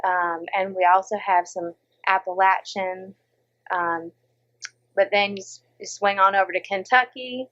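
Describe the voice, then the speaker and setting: A woman's voice: mid-range voice, a little slow, highs cut off. An American woman from Minnesota, an audiobook narrator, chatting in an interview and slipping into quoted voices as she tells stories. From Minnesota, USA. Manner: animated, drops into quoted voices. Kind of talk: casual interview chat.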